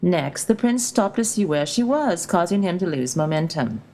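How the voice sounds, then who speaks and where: A woman's voice: on the low side, expressive, moderate pace, mellow. A woman reading scripted storytelling narration for an educational video, explaining in a storybook-like way. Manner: storybook-like, explanatory delivery with rhetorical questions. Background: music.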